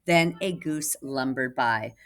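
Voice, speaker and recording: A woman reading a picture book aloud for children in an animated way, with asides to listeners. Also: a bass voice, slow pace. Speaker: children's storybook reader, teacher. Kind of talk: expressive read-aloud for children.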